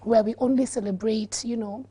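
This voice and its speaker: Kenyan woman, Kenyan accent, husky voice, a bit high, earnest talk-show guest. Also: steady tone, moderate pace, mellow. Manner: earnest, explanatory, laughs easily. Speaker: guest, activist. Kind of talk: studio talk-show discussion. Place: TV studio.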